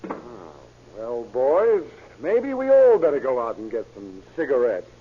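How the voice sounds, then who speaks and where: An actor playing a cynical, nosy newspaper reporter in an old radio drama. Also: male voice, mid-range voice, very animated, a little slow. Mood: suspicious. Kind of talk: 1940s-50s American radio drama acting. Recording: muffled old radio recording.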